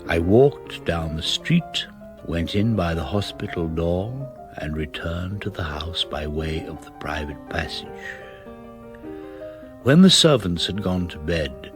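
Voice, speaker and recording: A very deep-voiced English murderer, narrates a confession in a radio play over clear music. He is clinical and precise, taking his time, with an arrogant self-satisfaction. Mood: cool, methodical. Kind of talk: radio drama monologue, confession read as narration.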